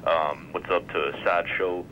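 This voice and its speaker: An American man phoning in to a show, giving casual prank-call shout-outs. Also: sits low, steady tone, unhurried. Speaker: phone-in caller. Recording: dull, slightly noisy phone line.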